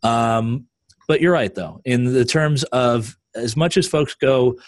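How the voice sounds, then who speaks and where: A man's voice: mid-range voice, slow, no echo, studio quality. A man hosting a podcast in casual chat, loose and chatty, laughing easily. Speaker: podcast host.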